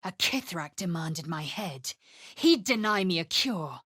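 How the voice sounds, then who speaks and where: A woman's voice: fairly low pitch, very animated, unhurried, fairly bright tone, breathy edge, no room sound, studio quality, heavily acted. A scornful alien warrior woman. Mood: grim. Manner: curt, commanding, contemptuous. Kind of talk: video game character voice lines.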